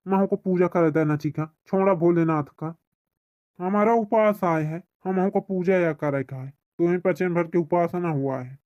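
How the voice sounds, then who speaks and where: A man's voice: high-pitched, unhurried, darkish tone, no room sound, muffled recording. A man with a Bagheli accent voicing an indignant, self-important young village bachelor who argues in a comic cartoon. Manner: argumentative, pleading, indignant.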